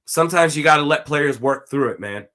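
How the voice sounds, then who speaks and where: Slightly raspy man doing live game commentary. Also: fairly high pitch, expressive, brisk, fairly bright tone. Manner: casual, talks to chat, reads comments aloud. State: hoarse from screaming. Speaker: watch party streamer.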